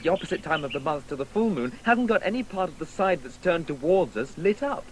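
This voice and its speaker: Pedantic, haughty boy, acted by a man, animated and high. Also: brisk, slight background noise, close to an everyday voice. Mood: insistent, scornful. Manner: pedantic, condescending explaining. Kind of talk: 1980s radio drama acting.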